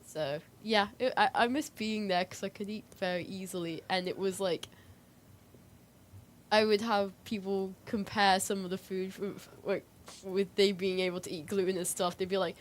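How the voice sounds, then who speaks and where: A woman's voice: mid-range voice, steady tone, moderate pace. Hesitant young-sounding female co-host with faint noise. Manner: hesitant, frequent "like" hedging. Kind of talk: casual chat.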